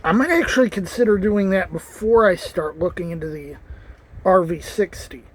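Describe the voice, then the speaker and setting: Male vlogger, high-pitched, earnest and rambling, casual to-camera chat. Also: normal speed. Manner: earnest, rambling, with restarts and asides.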